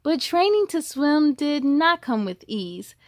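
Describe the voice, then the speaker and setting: A woman reading a children's book aloud in an expressive, encouraging way, with chatty asides to young listeners. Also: sits high, slow pace, no room sound, clean studio sound.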